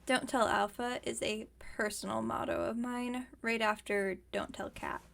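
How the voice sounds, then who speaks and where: A woman voicing a caring, wry young werewolf roommate in a casual, teasing, gently bossy ASMR roleplay monologue. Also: on the high side, steady tone, measured pace, creaky.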